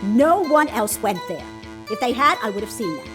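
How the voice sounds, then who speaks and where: A woman's voice: on the high side, lively intonation, normal speed, music in the background, close to an everyday voice. A woman voicing a feisty, folksy older female security guard and witness in a courtroom game. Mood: assured, matter-of-fact. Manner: folksy, sassy, scolding. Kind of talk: video game fan voice acting.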